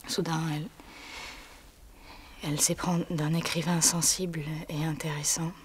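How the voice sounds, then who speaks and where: An actress delivering a theatrical monologue in a hushed voice that often drops to a whisper, pausing as she tells a story. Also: sits low, little pitch movement, slight background noise.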